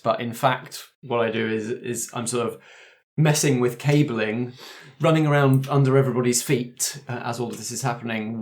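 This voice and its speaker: A woman playing a tabletop roleplaying game, improvising her actions in a casual conversational way. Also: a bass voice.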